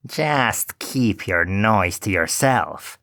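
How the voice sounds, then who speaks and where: A man voicing a cruel, mocking old witch in a storybook reading, slow and muttering. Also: fairly low pitch, lively intonation, fairly bright tone, no echo, studio quality, exaggerated voice. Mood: menacing.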